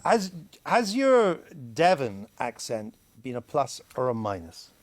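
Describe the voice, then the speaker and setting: A man's voice: medium pitch, wildly swooping pitch, slow, no room sound. A man hosting a TV political chat show, putting genial, quizzing questions to his guests in the studio. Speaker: host.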